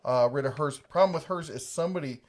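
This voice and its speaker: A man's voice: a little high, quick pace. A man casually chatting on a livestream, reading viewer comments in a laid-back, rambling, jokey way. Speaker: livestream co-host.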